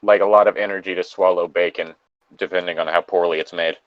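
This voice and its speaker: A man co-hosting a podcast, reading aloud and joking casually in a wry, halting way on a slightly dull microphone. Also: low-pitched, steady tone, dry recording. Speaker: podcast co-host reading fan fiction aloud.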